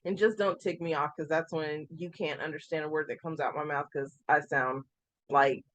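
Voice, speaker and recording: A woman's voice: low voice, normal speed, dry recording. Chatty woman, californian accent w/ a little southern twang, laughs a lot. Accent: Californian with a slight Southern lilt. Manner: chatty, animated, laughs easily. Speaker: co-host. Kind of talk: casual chat.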